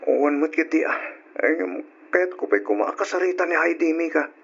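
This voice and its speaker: An actor playing a hesitant, guilty young boyfriend in an old-fashioned radio drama. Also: male voice, fairly high pitch, moderate pace, creaky, a bit bright, breathy edge, exaggerated voice. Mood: guilty, pleading. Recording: muffled old radio recording.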